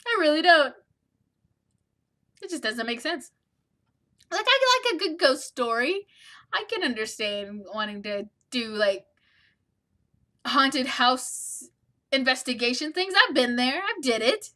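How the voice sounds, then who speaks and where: A woman's voice: sits high, very animated, takes it slowly, long pauses, bright, forceful tone. A woman hosting a let's play, reading a visual novel aloud and adding wry, opinionated asides.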